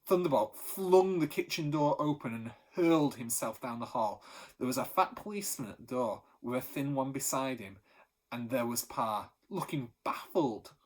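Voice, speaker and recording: A teacher reading a children's novel aloud with expressive storytelling. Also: male voice, medium pitch, normal speed.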